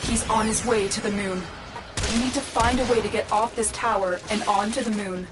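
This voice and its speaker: An actress playing a solemn, motherly guide and protector in a video game, measured and earnest. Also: mid-range voice, steady tone, background noise, lightly acted. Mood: urgent guidance. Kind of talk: video game character acting. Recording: game audio with music behind.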